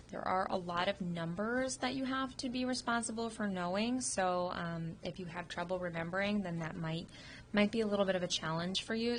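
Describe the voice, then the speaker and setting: A woman's voice: on the low side, a little hiss or hum. Sniffly woman teacher reviewing a test. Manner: informal, explanatory, with frequent ums. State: sick, sniffling. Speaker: radiography instructor. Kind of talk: recorded test review lecture over slides. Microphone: computer microphone.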